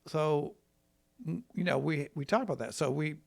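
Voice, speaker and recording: An American man from Wisconsin, a trial lawyer telling his story in a casual, rambling, folksy and halting way. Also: fairly high pitch, gravelly. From Wisconsin, United States.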